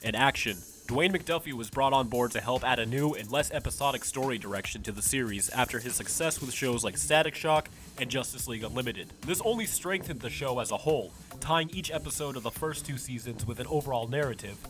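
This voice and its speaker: Mid-pitched man narrating over soft music. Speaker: video essayist, narrator. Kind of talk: scripted narration. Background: faint music.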